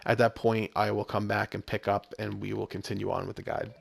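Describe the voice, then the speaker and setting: Low-voiced American man guiding a game. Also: flat delivery, brisk, gravelly, slightly rough. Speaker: walkthrough guide host. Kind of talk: casual video game walkthrough commentary. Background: game music with guitar.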